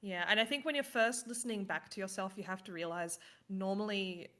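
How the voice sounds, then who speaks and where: A woman's voice: medium pitch, a little fast, pushed and bright. A woman guest on a podcast, chatting casually, laughing often and acting out her own reactions, with some "uh" and "um" fillers. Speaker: podcast guest, card game streamer. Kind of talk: casual chat.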